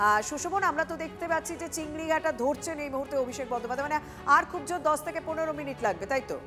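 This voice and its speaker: A woman anchoring live breaking news, halting and repeating phrases for emphasis. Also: high voice. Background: news music bed.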